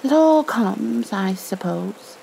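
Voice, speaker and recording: A woman's voice: fairly high pitch, expressive, takes it slowly, vocal fry, mellow, exaggerated voice, poor audio. A woman voicing a naive, earnest, honey-loving little male bear from a storybook, musing in a singsong way. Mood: flustered, rueful. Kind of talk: storybook read-aloud character voice. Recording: faint background noise.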